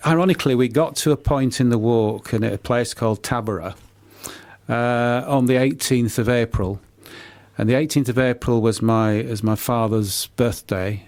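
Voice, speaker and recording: A man's voice: medium pitch, darkish tone, dry recording. Hesitant middle-aged man, dry close sound. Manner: hesitant, halting, often repeating words. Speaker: author and poet being interviewed. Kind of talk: unscripted radio interview answers.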